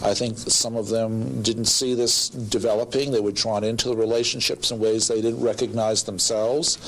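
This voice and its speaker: Counselor interviewee, man, lowish pitch, careful and detached, faint background noise. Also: little pitch movement, normal speed, a bit bright, rough recording. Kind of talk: measured interview talk.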